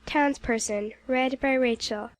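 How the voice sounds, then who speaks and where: A woman's voice: high-pitched, fairly even intonation, unhurried, dry recording. A woman reading out cast credits as one of an audiobook's readers.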